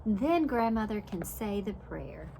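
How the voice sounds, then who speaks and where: A woman's voice: medium pitch, big pitch swings, a little slow, gravelly, background noise. A woman voicing a young girl from a storybook, meek, earnest and keen to help. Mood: eager.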